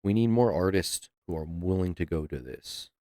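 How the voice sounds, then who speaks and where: A man's voice: sits low, normal speed, dark tone, no room sound, studio quality. A man hosting a reaction video, talking casually with loose swearing and laughs. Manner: laid-back, swears freely, laughs.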